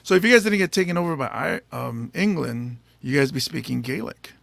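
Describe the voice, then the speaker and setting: A male podcast host chatting casually in an interview, playful and teasing himself, often halting on fillers. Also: medium pitch, expressive, creaky, a bit bright, dry recording. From United States.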